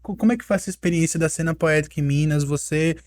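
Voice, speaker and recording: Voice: medium pitch, fast, a little mellow. An interviewer asking casual questions who restarts sentences while searching for words.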